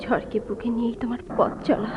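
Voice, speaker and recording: A woman's voice: on the high side, fairly even intonation, a little fast, very noisy, natural voice. An actress playing an anguished, restless woman in a radio drama, with an intense theatrical delivery. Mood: anguished, defiant. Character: anguished, restless woman torn between past and present. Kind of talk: melodramatic radio drama acting. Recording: muffled old radio play recording.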